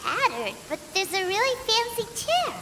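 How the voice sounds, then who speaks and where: A woman's voice: extremely high pitch, big pitch swings, normal speed, background noise. A woman voicing a tiny, cheeky female fairy companion in a video game, who talks about herself in the third person and makes blunt remarks. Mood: wry. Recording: game audio with loud music. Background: loud game music.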